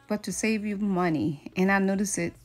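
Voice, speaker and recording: A woman's voice: mid-range voice, normal speed, faint music behind. A middle-aged woman, chatting casually and folksily to her viewers as a lifestyle vlogger. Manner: folksy, addresses viewers as friends and y'all. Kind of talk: casual motivational chat to viewers. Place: home kitchen, indoors.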